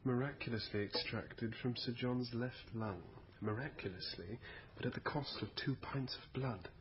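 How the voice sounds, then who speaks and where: An actor playing a grave, pompous doctor in a period radio drama, formal and deliberate. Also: male voice, medium pitch, lightly acted. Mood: dry. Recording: dull radio recording, faint noise.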